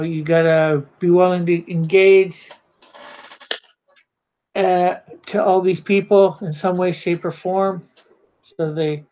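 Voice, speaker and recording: A man's voice: high-pitched, a little mellow. Canadian man hosting a rambling chat. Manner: rambling, laughs often, many fillers. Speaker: podcast host. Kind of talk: casual online chat. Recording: dull lo-fi online call audio.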